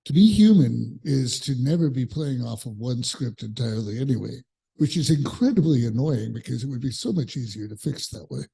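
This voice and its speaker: A man's voice: mid-range voice, expressive, brisk, creaky, warm tone. A man from a congregation offering informal, thoughtful commentary on a reading. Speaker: congregation member sharing a reflection.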